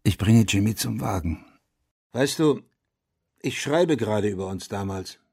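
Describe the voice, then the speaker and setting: A man's voice: on the low side, expressive, a little slow, vocal fry, darkish tone, husky, no echo, full character voice. An actor playing a reflective, haunted war veteran and writer in his forties, narrating intimately in a radio drama. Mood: wry, reflective. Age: middle-aged. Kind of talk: German radio drama first-person narration. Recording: studio radio drama over guitar music.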